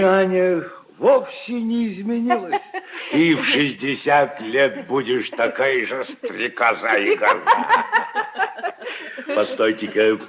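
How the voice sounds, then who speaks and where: An actor playing a portly, jovial old general and grandfather in a radio play, teasing and exclaiming in a drawn-out way. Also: fairly low pitch, fairly bright tone, full character voice. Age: older. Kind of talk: 1950s Soviet radio play acting.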